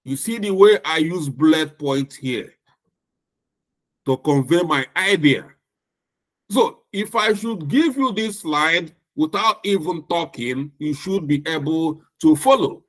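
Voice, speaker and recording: Slow-speaking Nigerian man lecturing. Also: fairly high pitch, lively intonation, a bit bright. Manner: slow, deliberate, with emphatic repetition. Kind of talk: recorded lecture.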